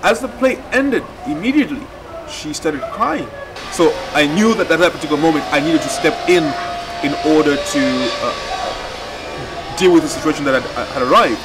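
A man, explaining a project in a documentary interview outdoors, with deliberate, halting phrasing. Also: a little high, expressive, over music, poor audio. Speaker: theatre project leader. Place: outdoors in a leafy courtyard.

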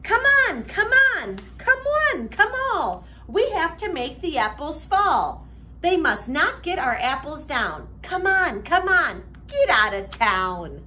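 A young woman reading a children's picture book aloud like a teacher, expressive, sing-song and deliberate. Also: sits high. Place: classroom, indoors.

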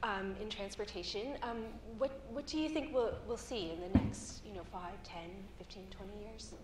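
A woman's voice: medium pitch, moderate pace, far from the mic. Female moderator, 40s, from the Toronto area, casual and laughing, roomy hall with noise behind. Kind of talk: panel discussion. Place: conference stage, indoors.

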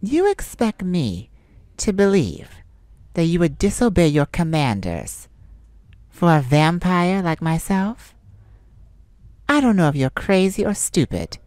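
A cunning male vampire flirts and taunts in a soft, close ASMR delivery, voiced by a woman. The voice sits a little low and drawls unhurriedly. It swoops up and down playfully, with a dry, close sound. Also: big pitch swings, no room sound. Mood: wry bargaining. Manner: teasing, soft-spoken, slow and taunting. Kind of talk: ASMR roleplay audio.

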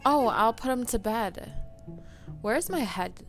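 A woman commentating on her let's play, a little raspy from just waking up, playful and mock-outraged at the game. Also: on the high side, animated, slow pace. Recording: game music loud under her voice.

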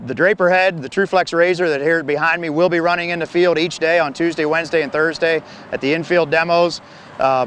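A man's voice: on the high side, a bit bright, clear, resonant tone. A man in his thirties explaining a machine as a company representative, speaking plainly with frequent fillers and restarts, recorded outdoors on a lapel mic. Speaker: farm equipment company representative. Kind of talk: product walkaround demo. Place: outdoors on a grassy field beside a combine.

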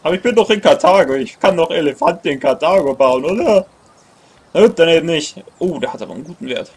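Husky young man thinking aloud over a game. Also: a little high, expressive, fast talker. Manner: thinks aloud, grumbles, self-corrects. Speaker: let's play gamer. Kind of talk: casual gameplay commentary. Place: small room indoors at a computer.

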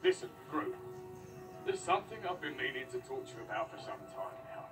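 A man playing an elderly, glum mad scientist in an animated film, hesitant and dry in manner. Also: mid-range voice, brisk, close to an everyday voice. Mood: wistful, hesitant. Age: older. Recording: distant screen capture with film music.

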